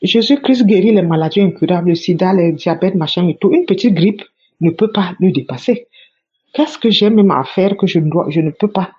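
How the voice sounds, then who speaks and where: A woman tells her religious testimony with fervent conviction, circling back and repeating phrases to press them home. Her voice is husky, and the recording sounds dull and lo-fi. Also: fairly low pitch, animated, moderate pace, dark tone. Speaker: church member giving testimony.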